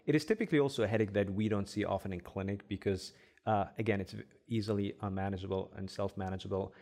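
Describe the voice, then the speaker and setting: A young man from Egypt whose first language is Arabic, a headache doctor explaining in a relaxed podcast interview with many "uh" fillers. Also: on the low side, quick pace. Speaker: headache specialist doctor, guest.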